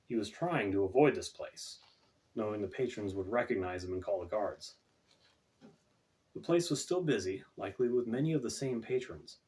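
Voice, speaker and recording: A man's voice: on the low side, a little mellow. A man reading his own fantasy novel as scripted audiobook narration, recorded away from the microphone.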